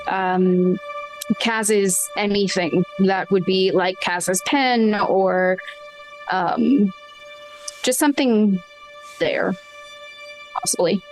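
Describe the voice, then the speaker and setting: A woman's voice: medium pitch, extremely slow, long pauses, low-quality recording. A woman playing a tabletop roleplaying game, thinking aloud in a tentative, casual way. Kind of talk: improvised in-game discussion and casual table talk. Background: background music.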